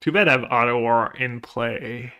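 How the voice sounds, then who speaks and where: A man's voice: mid-range voice, normal speed, sharp tone. A man live-streaming a card game, chatting casually with viewers and thinking his plays aloud. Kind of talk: live gameplay commentary, reading chat.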